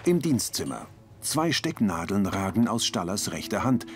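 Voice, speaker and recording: A man's voice: lowish voice, very animated, normal speed, soft background music. A man reading scripted audio description for a TV series.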